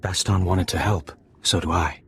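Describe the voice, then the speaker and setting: An actor playing a dutiful young alien bridegroom in a video game, speaking formally and with restraint. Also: male voice, deep voice, steady tone, moderate pace, vocal fry, fairly bright tone, slight background noise. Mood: solemn, tender.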